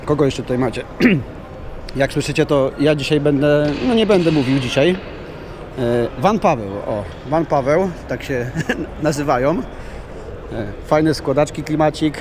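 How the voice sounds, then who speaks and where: A hoarse man slowly walking through a big indoor exhibition hall, giving casual chuckling commentary for a video. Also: mid-range voice, noisy background. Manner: slow, rambling, chuckling asides. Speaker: vlogger touring a trade show.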